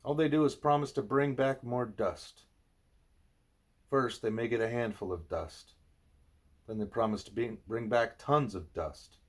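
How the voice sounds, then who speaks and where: A man's voice: medium pitch, measured pace. A man reading a spiritual book aloud in the manner of an audiobook narrator. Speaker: audiobook reader.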